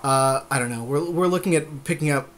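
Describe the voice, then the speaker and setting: A man's voice: fairly high pitch, brisk, a little hiss or hum. A man co-hosting a podcast with blunt, informal, casual commentary on a card-game draft. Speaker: podcast co-host.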